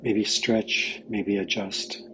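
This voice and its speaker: Slow, low-voiced man leading meditation. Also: flat delivery, poor audio. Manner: gentle, unhurried, pausing mid-phrase. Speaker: guided meditation leader. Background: background music.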